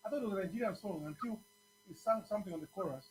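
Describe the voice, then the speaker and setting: A man's voice: high-pitched, racing, rough recording. A man with a Zambian accent hosting a casual radio interview, repeating words as he builds his point. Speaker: radio show host and DJ. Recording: faint electrical hum and noise.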